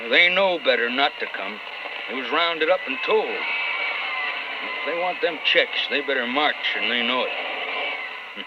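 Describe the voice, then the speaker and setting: A cynical, offhand state policeman in a vintage radio play. His folksy talk rises and falls freely, on a dull recording with very loud noise. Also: medium pitch, wildly swooping pitch, measured pace, pauses often. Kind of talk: old-time radio drama acting.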